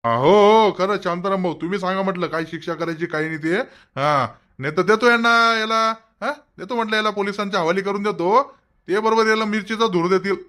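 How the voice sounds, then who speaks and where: A man's voice: high voice, fairly quick. A man voicing an eager, threatening village council member in a comedy cartoon, prodding. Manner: forceful, prodding.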